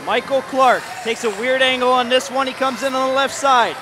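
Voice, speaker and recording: A man calling play-by-play for a hockey shootout, rising to shouted goal calls while his voice is going. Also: extremely high pitch, fairly even intonation, brisk. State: losing his voice. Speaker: play-by-play commentator. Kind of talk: excited sports commentary. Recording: crowd and arena noise behind.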